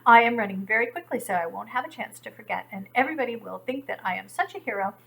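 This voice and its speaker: A woman voicing a proud, excited young female gazelle in a told animal fable. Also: fairly high pitch, quick pace, gravelly, rough recording. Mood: eager.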